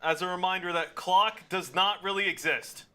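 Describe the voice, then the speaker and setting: Football stream co-host man, calm analytic read, slightly high and bright, desk mic. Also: moderate pace, soft background music. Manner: calm analytic, explains situations and strategy. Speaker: watch party co-host. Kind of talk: casual football analysis on a livestream.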